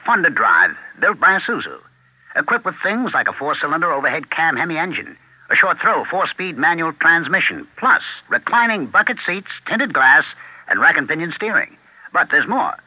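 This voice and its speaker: A man with a highish voice delivers an upbeat seventies radio commercial. His intonation is very animated. The recording is dry and thin, like an old broadcast. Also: no echo, phone-quality sound. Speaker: commercial announcer. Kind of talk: upbeat 1970s radio car advertisement.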